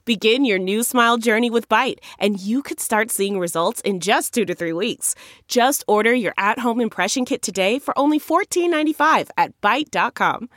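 A woman's voice: fairly high pitch, moderate pace, fairly bright tone, no echo, studio quality. A woman reading an upbeat advertisement. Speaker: ad announcer. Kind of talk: upbeat ad read.

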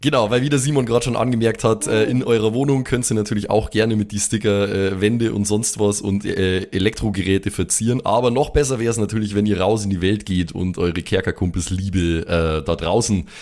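A man's voice: lowish voice, fairly even intonation, brisk, few pauses, a little mellow. A man, chatting casually and plugging things as a podcast host. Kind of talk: casual banter and promo plug.

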